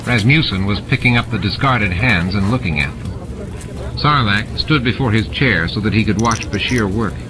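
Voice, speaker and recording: A man's voice: fairly low pitch, normal speed, noisy background. A man reading a novel aloud as scripted, dramatic audiobook narration. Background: music and sound effects added to the reading.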